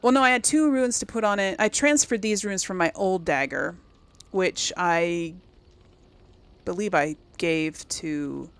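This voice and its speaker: A woman's voice: lowish voice, unhurried, clear, resonant tone. A woman giving casual let's play commentary as host, rambling as she thinks aloud and corrects herself. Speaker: gaming video host.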